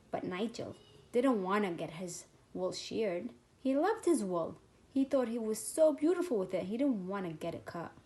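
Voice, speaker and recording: A woman's voice: mid-range voice, warm tone. A woman reading a picture book aloud to young children, expressively and simply, with short explanatory asides.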